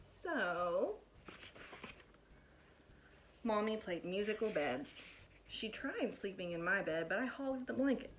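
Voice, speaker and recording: A woman reading a picture book aloud to children in an expressive, deliberate storytelling manner, like a teacher addressing pupils. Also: mid-range voice, a little hiss or hum, rough recording.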